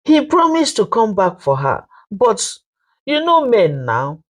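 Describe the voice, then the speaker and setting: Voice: mid-range voice, wildly swooping pitch, slow pace, pitched above the performer's own voice, exaggerated voice. A storyteller with a Nigerian accent voicing gossiping old village women, knowing, pitying and confiding.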